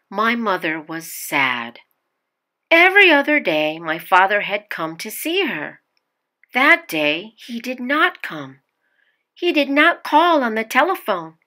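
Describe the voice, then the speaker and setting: A woman reads a children's picture book aloud as a storyteller, with deliberate, emphatic phrasing. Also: fairly high pitch, bright, forceful tone. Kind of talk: expressive children's read-aloud narration.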